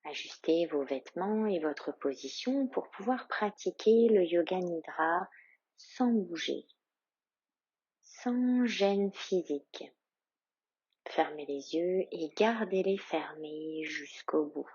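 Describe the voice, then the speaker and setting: A woman yoga instructor leading a guided meditation in a calm, soothing, soft and breathy voice with long, measured pauses. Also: fairly low pitch, no room sound, highs cut off.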